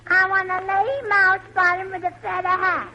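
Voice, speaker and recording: A woman's voice: extremely high pitch, level tone, normal speed, bright, forceful tone, exaggerated voice. A woman playing a sly, bratty little girl in a coy, childish way in an old radio comedy. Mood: muddled. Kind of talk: 1940s radio comedy acting. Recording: muffled, noisy old radio recording.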